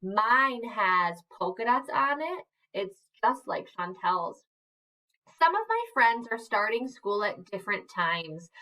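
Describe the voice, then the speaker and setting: A woman's voice: mid-range voice, pushed and bright. A woman teacher reading a picture book aloud to young children, encouraging them and asking them questions as she goes. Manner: encouraging, asks the children questions and prompts gestures. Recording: voice a bit distant from the mic.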